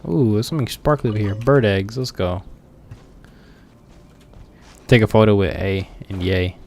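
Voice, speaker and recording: A man's voice: lowish voice, normal speed, a little mellow, slight background noise. A young man giving casual, joking, slangy commentary as he streams a video game.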